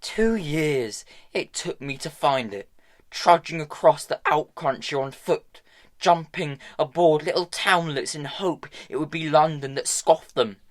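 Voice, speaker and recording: A man's voice: a little high, measured pace, voice pushed up, close to an everyday voice. A man reading an audiobook, voicing a bitter, blunt young woman with a curt, angry manner.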